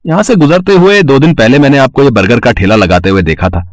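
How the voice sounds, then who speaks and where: A man's voice: medium pitch, fast, no room sound, clean studio sound, close to an everyday voice. A man voicing a polite, helpful burger shop owner in a cartoon story, courteous and explanatory. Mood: polite, earnest.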